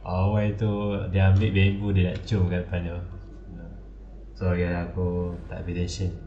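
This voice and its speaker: Low, flat-toned man with Malay accent. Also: moderate pace, muffled recording, slight background noise. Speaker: game streamer. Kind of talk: casual live reaction commentary. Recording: roomy echo, faint music behind.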